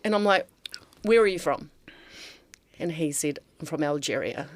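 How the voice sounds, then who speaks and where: A chatty woman tells her story as a guest, often acting out what she said and laughing along. Her voice sits a little low, and the sound is dry and close. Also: animated, normal speed, dry recording. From New Zealand. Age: 50s. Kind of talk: casual chat.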